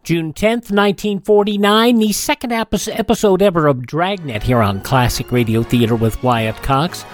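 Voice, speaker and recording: A man's voice: on the high side, normal speed, few pauses, no echo. Male radio host over music. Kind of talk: scripted radio presenting and ad read.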